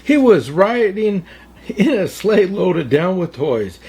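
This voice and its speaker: A man reading a children's storybook aloud as a storyteller, in a theatrical, deliberate way. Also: sits high, very animated, warm tone, husky. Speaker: storyteller, Santa story-time host.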